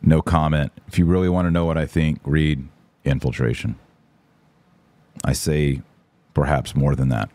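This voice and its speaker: Male podcast host, low-pitched voice. Informal, emphatic, opinionated religious talk delivered straight to listeners. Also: fairly even intonation, moderate pace, pauses often, dark tone. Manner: informal, emphatic, slangy, direct address. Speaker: online course teacher. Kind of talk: casual opinionated religious commentary to an audience.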